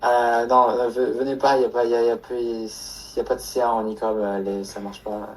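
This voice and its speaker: A man with a slightly rough, husky voice at an average pitch. He chats casually and haltingly, dropping slang and joking as he goes. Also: little pitch movement, recorded from a distance, poor audio. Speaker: e-commerce student. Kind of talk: casual chat.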